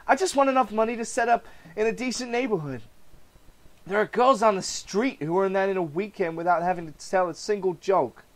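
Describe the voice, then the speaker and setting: A man's voice: high voice, brisk, lightly acted. A man voicing a distraught, self-pitying young husband in a comic book reading, stammering and pleading. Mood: bitter. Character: anguished, self-pitying failed male comedian husband.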